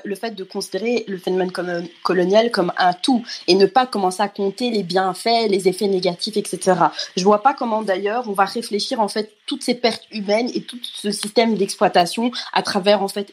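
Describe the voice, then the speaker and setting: A woman's voice: mid-range voice, husky. A young woman of Rwandan origin, speaking in a panel discussion as a specialist, reflective and full of fillers. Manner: reflective, many fillers like "en fait". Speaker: panelist, specialist of the Afro-descendant community. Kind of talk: casual discussion.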